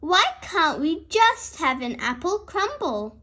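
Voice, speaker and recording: A woman's voice: extremely high pitch, sing-song, takes it slowly, sharp tone, exaggerated voice. A woman voicing an old grandfather pig from a storybook, practical and cautioning, giving emphatic instructions.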